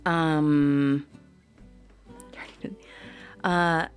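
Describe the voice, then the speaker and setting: A woman hosting a live singing stream, chatting casually with viewers between songs in quick, rambling bursts full of ums and likes. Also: deep voice, level tone, faint music behind. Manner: reads out viewer comments and answers them. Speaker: livestream singer and host.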